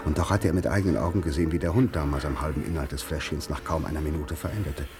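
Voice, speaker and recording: A man with an extremely low voice narrates a literary radio drama. He tells the story gravely and in measured phrases, with music faintly to clearly present behind him. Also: steady tone.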